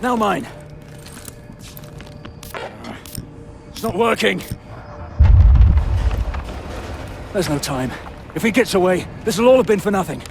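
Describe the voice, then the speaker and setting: An actor playing a gritty, defiant soldier in a video game, urgent and forceful. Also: male voice, sits high, expressive, fairly quick, gravelly, over music. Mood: strained urgency. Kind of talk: dramatic game cutscene acting.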